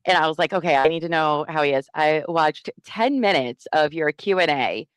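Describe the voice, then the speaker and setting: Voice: mid-range voice, moderate pace, clear, resonant tone, no echo. A host in a casual chat on a video call, friendly, swearing offhandedly and drawing out key words.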